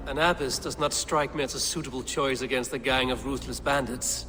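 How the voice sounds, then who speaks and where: Male actor as video game Viking warrior, medium pitch, blunt, commanding, bold, strong musical backing. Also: fairly even intonation, brisk, over music, close to an everyday voice. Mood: measured, probing.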